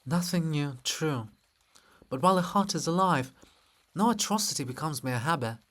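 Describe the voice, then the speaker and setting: A man voicing a stern, grieving princess monument in a solemn, measured, formal stage reading. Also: fairly high pitch, animated, a bit bright. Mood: grave. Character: Princess Olha, stern, grieving, proud monument of a female ruler.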